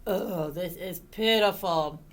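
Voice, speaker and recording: A woman's voice: lowish voice, slow pace. A woman voicing a grumpy, scheming male rat in a children's story, impatient, muttering and sardonic. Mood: disgusted muttering.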